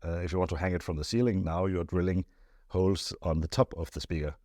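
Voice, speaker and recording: A man giving a casual technical explanation as an expert, phrasing things thoughtfully and hesitantly with frequent pauses and repeated words. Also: low-pitched, fairly even intonation, a little mellow, no room sound, clean studio sound. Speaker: loudspeaker expert answering viewer questions.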